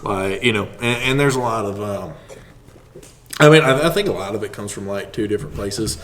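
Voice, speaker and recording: A man's voice: on the low side, a little fast, crowd noise. A man as a podcast guest, chatting casually and telling rambling stories in which he acts out what people say. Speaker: podcast guest, martial artist.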